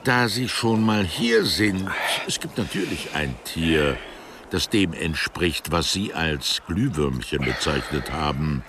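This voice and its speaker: An actor playing an eccentric, precise biologist who speaks in a deliberate, philosophical way in a German radio play. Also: male voice, extremely low pitch, very animated, noisy background, heavily acted. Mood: coolly musing. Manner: deliberate, pedantic, musing.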